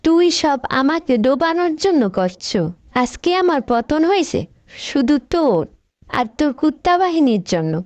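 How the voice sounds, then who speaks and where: A woman voicing a high-pitched bossy cartoon lady leader. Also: big pitch swings, normal speed, darkish tone, no echo. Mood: scolding, disgusted. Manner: scolding, dramatic, colloquial. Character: bossy, scolding deposed female leader, mocking and theatrical. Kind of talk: comic cartoon voice acting.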